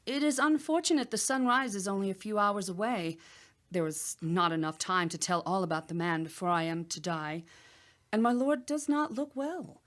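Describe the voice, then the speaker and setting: A woman plays a composed, clever queen telling tales in a radio drama. Her mid-pitched voice is soothing and measured, with a deferential manner toward her lord. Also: lightly acted. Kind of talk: audio drama acting.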